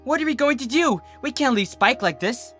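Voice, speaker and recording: Narrator playing female cartoon pony prankster, brash, loud, raised pitch, bright, music behind. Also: lively intonation, normal speed. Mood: panicked. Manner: loud, emphatic.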